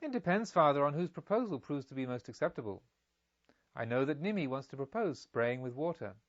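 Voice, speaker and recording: A man's voice: on the high side, sing-song, brisk, dry recording, muffled recording. A man voicing a polite, carefree young merchant's son in an audiobook, with eager, formal speech. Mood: polite, enthusiastic. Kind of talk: audiobook character dialogue.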